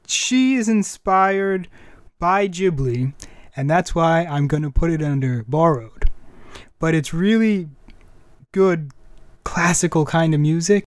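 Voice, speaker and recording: A man's voice: on the high side, slow pace, dry recording, clean studio sound. A man hosting a casual music and anime podcast, chatting in an enthusiastic, rambling way. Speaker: podcast host.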